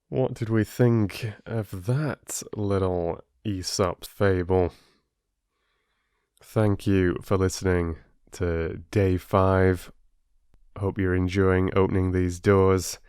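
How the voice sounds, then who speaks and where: A man's voice: deep voice, darkish tone, clear, resonant tone, no echo, studio quality. A man hosting as a storyteller, reading aloud and chatting encouragingly to his listeners. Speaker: storyteller and channel host. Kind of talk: audiobook storytelling and chat to listeners.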